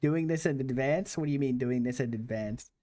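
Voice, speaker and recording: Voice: medium pitch, fairly quick, dark tone, no echo. A livestream host chatting casually with a guest, playful and teasing, laughing often. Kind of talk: casual stream chat with a guest.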